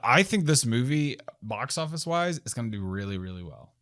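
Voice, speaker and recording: A man's voice: medium pitch, sing-song, a little fast, fairly bright tone. A man chatting casually and enthusiastically as a film review host, in an informal way.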